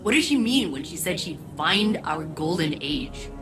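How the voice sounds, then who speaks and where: A woman's voice: on the low side, sing-song, a little slow, music in the background, poor audio. An actress voicing an earnest, resolute and reflective woman leader in dramatic game dialogue. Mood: focused.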